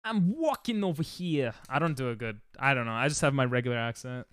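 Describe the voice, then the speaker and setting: A young man with a New York accent, streaming a game and chatting casually with friends, joking and getting excitable, often trailing off mid-sentence. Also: mid-range voice, fairly quick, clean tone, no echo. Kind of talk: casual gaming stream chat.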